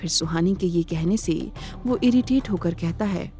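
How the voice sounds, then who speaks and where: A woman narrating a scripted audio drama in a measured, dramatic storytelling manner. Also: fairly low pitch, warm tone, soft background music.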